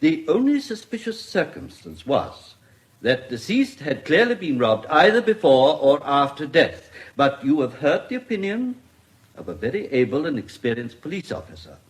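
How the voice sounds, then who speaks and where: An actor playing a formal, courteous British coroner in a radio drama, with measured official diction. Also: male voice, a little high, rough recording. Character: formal, courteous English coroner presiding over an inquest.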